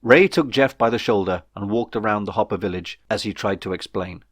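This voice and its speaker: A man's voice: lowish voice, brisk, a bit bright, dry recording, clean studio sound. A man reading his own comic novel aloud as scripted audiobook narration.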